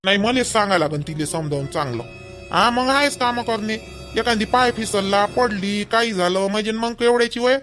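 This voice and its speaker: Man playing a high-pitched, opinionated village son. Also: normal speed, a bit bright, soft background music. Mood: concerned. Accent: Vidarbha Marathi. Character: opinionated, protective young married village son. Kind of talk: homemade cartoon family dialogue.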